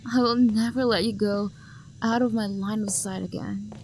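A woman's voice: mid-range voice, steady tone, moderate pace, a little mellow, a little hiss or hum, close to an everyday voice. A young woman acting an obsessive, possessive lover who hunts for the one she adores, her voice sweet and menacing and often sinking to a whisper. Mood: teasing, hunting. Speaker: voice actor. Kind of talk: audio roleplay acting.

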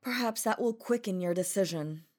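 A woman's voice: mid-range voice, normal speed, a little mellow. A woman voicing an obsessive, possessive female demon who speaks in a sultry, coaxing way that turns to cold threats. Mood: menacing. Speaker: voice actor. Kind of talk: audio roleplay monologue.

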